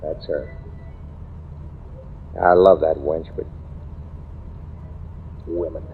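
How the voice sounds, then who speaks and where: A man's voice: rumbling low, little pitch movement, very slow, exaggerated voice. A man playing a brusque, dismissive American cop and husband in old-time radio drama, with an offhand, wisecracking way of talking. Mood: wry, skeptical. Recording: tinny, noisy vintage radio recording.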